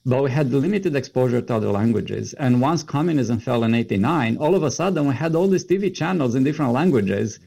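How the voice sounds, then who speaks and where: Gravelly middle-aged man with a Romanian accent. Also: mid-range voice, expressive, fairly quick, hardly any pauses, mellow. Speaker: interview guest, computer science educator. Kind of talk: conversational explanation in an interview.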